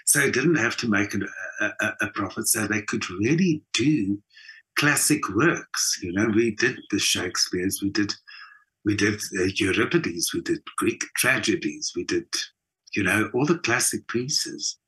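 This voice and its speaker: A middle-aged South African man with a husky voice, talking reflectively in an interview, emphatic, with halting repeats and pauses. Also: fairly low pitch, lively intonation, pushed and bright, rough recording. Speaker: actor and singer being interviewed.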